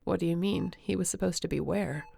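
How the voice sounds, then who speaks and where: A woman playing a tired, tender, worried young woman in an intimate sci-fi audio roleplay, speaking softly and earnestly. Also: fairly low pitch, fairly quick, vocal fry, slightly rough, exaggerated voice.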